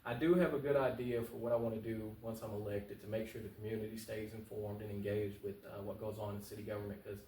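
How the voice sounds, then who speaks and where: A man's voice: fairly low pitch, steady tone, fast, few pauses, dark tone. A man giving casual, conversational interview answers as a political candidate, colloquial and emphatic, repeating his points for stress. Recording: echoey room, distant mic, faint noise.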